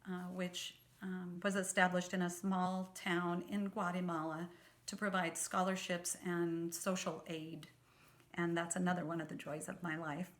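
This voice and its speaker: A woman in her sixties from Oregon, a retired teacher giving an earnest, measured campaign statement with a few fillers. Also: mid-range voice, a bit bright. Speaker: school board candidate.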